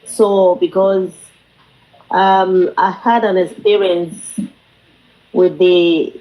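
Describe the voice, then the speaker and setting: A middle-aged Nigerian woman, a native Yoruba speaker, chatting casually in a hesitant, halting way with a Nigerian accent. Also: lowish voice, flat delivery, dark tone, low-quality recording. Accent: Nigerian Yoruba-language. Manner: hesitant, halting, often says "you know". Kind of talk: casual chat.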